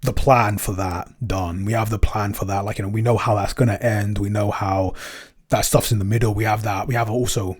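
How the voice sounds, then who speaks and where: Low-voiced, slightly husky man thinking aloud. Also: steady tone, brisk. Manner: hesitant, thinking aloud, rephrasing mid-sentence. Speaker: podcast co-creator answering listener questions. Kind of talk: casual unscripted behind-the-scenes chat.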